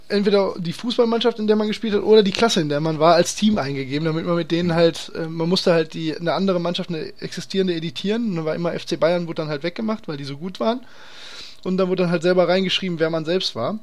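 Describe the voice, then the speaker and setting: A man's voice: high-pitched, fast talker. A man hosting a casual podcast chat, talking in an enthusiastic, slangy and emphatic way. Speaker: podcast host.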